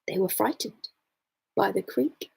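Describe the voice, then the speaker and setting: A woman reading a children's bedtime story expressively, drawing out words and dropping into hushed, dramatic asides. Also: medium pitch, unhurried, vocal fry, noisy background.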